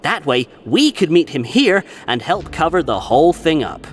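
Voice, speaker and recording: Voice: mid-range voice, sing-song, normal speed, natural voice. An actor playing a brusque, dismissive but loyal prison gang member in a video game. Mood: resigned, explanatory.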